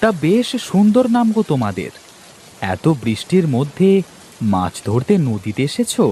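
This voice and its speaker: A man voicing a friendly, curious talking river frog in a cartoon, husky and coaxing. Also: fairly high pitch, huge pitch swings, moderate pace, dark tone, a little hiss or hum. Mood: kindly. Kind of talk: Bengali cartoon story acting.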